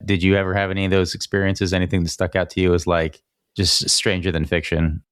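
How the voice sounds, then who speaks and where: Deep-voiced man hosting a casual podcast. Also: flat delivery, fast talker, clean tone. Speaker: podcast host, interviewer. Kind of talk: casual interview chat.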